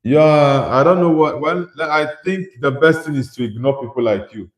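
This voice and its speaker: A man's voice: a little high, moderate pace, echoey room. A young man from Nigeria hosting a casual football livestream, reading viewer comments aloud and answering with his own opinions. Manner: conversational, reads out chat messages and replies with opinions.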